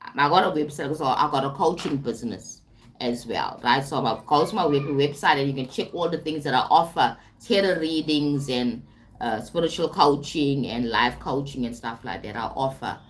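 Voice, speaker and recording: A woman from South Africa speaks in a low voice for a woman, talking loosely to viewers on a live stream. She is a spiritual coach who wanders through her points and circles back to them. She keeps tagging her sentences with "right?" and "you understand?". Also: normal speed, slight background noise, rough recording. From South Africa (Durban). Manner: rambling and repetitive, often asks "right?" and "you understand?". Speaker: spiritual and life coach, tarot reader.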